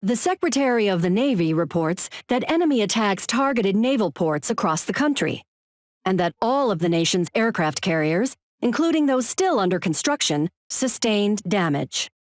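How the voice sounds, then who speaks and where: Animated, formal female news anchor, played by an actress. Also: medium pitch, dry recording, studio quality, natural voice. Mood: grave, urgent. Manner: formal, measured, urgent. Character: grave, composed female television news anchor. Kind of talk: formal breaking-news broadcast reading.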